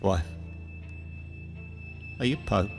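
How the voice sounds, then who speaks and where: A man's voice: lowish voice, drawn-out words. An actor playing a timid, henpecked fisherman in a fairy tale audio drama, plaintive and deferential in old-fashioned speech. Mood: resigned. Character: timid, henpecked fisherman husband, pleading and fearful. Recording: loud music and storm sounds behind.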